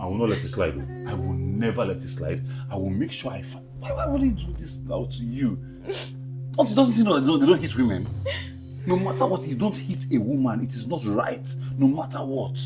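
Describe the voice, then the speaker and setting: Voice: medium pitch, close to an everyday voice, low-quality recording. An actor in an emotional drama playing a protective, agitated friend who comforts a hurt woman, stammering and repeating urgently. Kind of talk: emotional film drama acting. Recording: loud music behind dialogue. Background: loud dramatic music.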